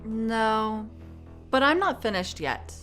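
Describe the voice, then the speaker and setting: A woman acting a sharp-voiced, defensive lady scientist. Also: on the high side, sing-song, slow pace, faint music behind, exaggerated voice. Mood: defensive, snappish. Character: tired, defensive, snappish woman scientist named Doctor Levitt. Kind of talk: radio drama acting.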